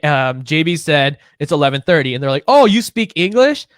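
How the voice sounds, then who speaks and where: Young male podcast co-host, high pitched, swears freely, lively and quick to laugh, dry close mic. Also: dry recording. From Illinois, United States. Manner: profane, animated, laughs often. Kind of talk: casual chat.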